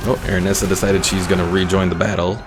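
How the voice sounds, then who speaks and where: A man's voice: deep voice, little pitch movement, fast talker. A man giving casual live commentary as he plays a game. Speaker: game streamer. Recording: loud game music behind. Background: battle game music and unit voices.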